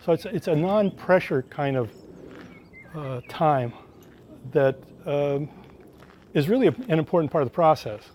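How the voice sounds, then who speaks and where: A poet speaking in a thoughtful, halting way, searching for his words during an outdoor interview in a park. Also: on the high side, darkish tone, a little hiss or hum. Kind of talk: reflective interview talk.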